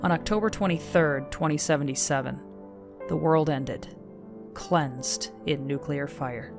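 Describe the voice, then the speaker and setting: A woman performing grave, measured narration as the solemn storyteller of a post-apocalyptic audio drama. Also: sits low. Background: music.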